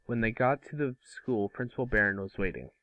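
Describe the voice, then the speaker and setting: A man's voice: lowish voice, fairly quick, fairly bright tone, no room sound, dull audio. A man narrating a script in an amateur online table read. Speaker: narrator and series co-creator.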